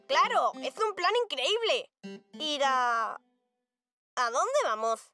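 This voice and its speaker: A woman's voice: sits high, sing-song, slow, music in the background, full character voice. A woman voicing an eager, playful, confident young child in a children's cartoon, with a Castilian Spanish accent.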